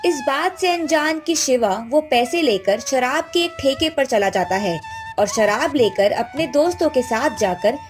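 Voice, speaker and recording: High-pitched woman narrating a story. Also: lively intonation, unhurried. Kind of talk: scripted children's story narration. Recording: music bed behind the voice. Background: background music.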